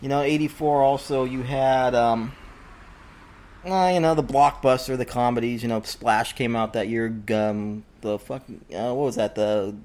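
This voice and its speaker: A man's voice: medium pitch, fairly even intonation, normal speed, darkish tone. A man co-hosting a casual film discussion, hesitant, with many fillers and offhand swearing. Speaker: podcast co-host.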